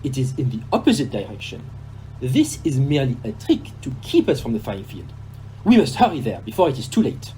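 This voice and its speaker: An actor playing a courteous, shrewd foreign detective in an audio play, speaking formally with French exclamations. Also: male voice, mid-range voice, huge pitch swings, brisk, background noise. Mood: confident, shrewd.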